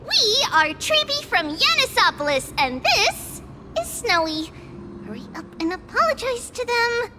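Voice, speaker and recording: A woman's voice: very high voice, very animated, a little slow, noisy background, full character voice. A woman voicing a small, childlike, bubbly fairy-like companion in a video game, chirpy and exclamatory, bossy yet friendly. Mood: cheerful, soothing. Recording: music behind the voice. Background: game music.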